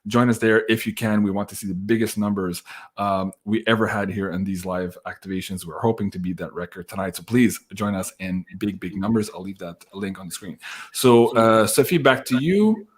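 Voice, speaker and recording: A man's voice: fairly low pitch, moderate pace, slightly rough. Upbeat man hosting a livestream. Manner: upbeat, excitable. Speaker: livestream host reading viewer questions. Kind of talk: casual live chat and announcements.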